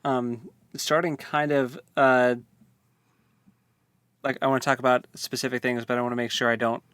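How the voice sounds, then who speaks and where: A man's voice: medium pitch, little pitch movement, moderate pace, a bit bright, smooth, no room sound, clean studio sound. A man co-hosting a casual book discussion podcast, hesitant and full of restarts as he talks through a story. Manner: hesitant, many fillers and restarts. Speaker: podcast co-host.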